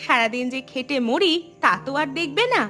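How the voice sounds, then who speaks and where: A woman's voice: sits high, lively intonation, fairly quick, pushed and bright, soft background music. A woman voicing an aggrieved, quarrelsome young wife in a village cartoon, arguing and complaining. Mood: indignant. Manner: complaining, argumentative. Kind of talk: Bengali moral-tale cartoon dubbing.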